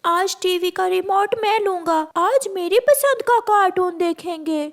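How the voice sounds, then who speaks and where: A woman's voice: very high-pitched, a little slow, pitched above the performer's own voice. A woman voicing a stubborn, gullible little boy bird in a children's cartoon story.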